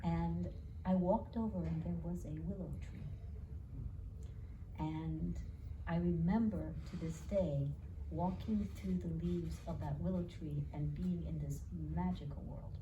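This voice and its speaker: A woman's voice: fairly low pitch, steady tone, a little slow, mellow, lo-fi sound. A woman from New York City with a New York accent, an educator and author thinking aloud and telling stories with frequent fillers and restarts in a conversational interview. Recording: distant mic with clear background noise.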